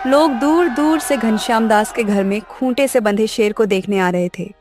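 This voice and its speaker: A woman's voice: a little high, normal speed, darkish tone, faint music behind. A woman narrating a scripted children's moral story.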